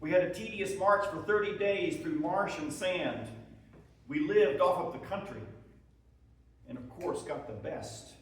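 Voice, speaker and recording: A man giving a dramatic reading as a proud, weary Civil War soldier, in measured short phrases, writing letters home. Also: high voice. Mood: reporting, wry. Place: echoey room at a live presentation. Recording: echoey hall, far from the microphone.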